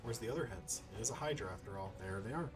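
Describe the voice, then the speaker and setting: A man's voice: lowish voice, animated, quick pace. A man giving casual, chatty let's play commentary, thinking aloud over game music. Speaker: gaming video host.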